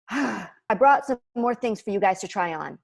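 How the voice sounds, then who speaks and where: A woman playing a gentle, well-meaning suburban mother in a table read, soft and hesitant. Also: mid-range voice, lively intonation, a little slow, vocal fry. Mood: hurt, gentle. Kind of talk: comedic sitcom table read.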